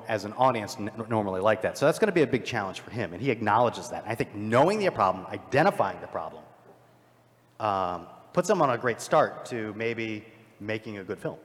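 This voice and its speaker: A man speaking as a panelist in a live discussion, in an analytical, halting way with film-studies vocabulary. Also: mid-range voice. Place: large convention panel room with microphones. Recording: very reverberant hall, live panel.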